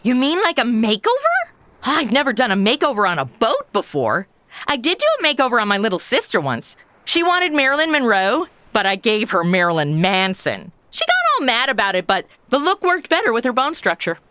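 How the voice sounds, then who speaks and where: A woman's voice: on the high side, wildly swooping pitch, fairly quick, sharp tone, no echo, heavily acted. A woman playing a chatty, oblivious, sassy modern young woman in a comedy audio drama, with flippant, slangy delivery. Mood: breezy, boastful.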